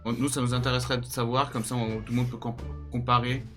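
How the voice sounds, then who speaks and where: A man's voice: mid-range voice, level tone, fast talker, fairly bright tone. A young man chatting informally as a co-host, giving his opinions with a teasing edge. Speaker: YouTube co-host. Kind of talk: casual chat, giving opinions. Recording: music behind the voice.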